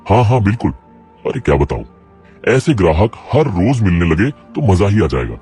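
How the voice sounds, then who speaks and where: A man's voice: deep voice, big pitch swings, moderate pace, mellow. A man voicing a poor, worried village potter in a cartoon story. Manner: worried, plain-spoken. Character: poor struggling middle-aged male potter. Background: faint music.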